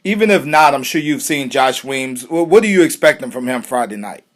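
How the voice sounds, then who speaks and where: A man's voice: medium pitch, lively intonation, fairly quick. A man interviewing a fighter in a casual, friendly way, often calling him brother. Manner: friendly, calls guest brother.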